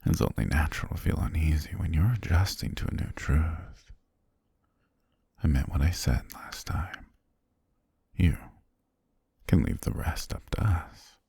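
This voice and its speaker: A man playing a calm, possessive governor soothing his pet in an ASMR roleplay, breathy and half-whispered, slow, gentle and softly commanding, very close to the mic. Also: extremely low pitch, no echo, heavily acted. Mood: reassuring, possessive. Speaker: ASMR voice actor. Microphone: very close, dry microphone.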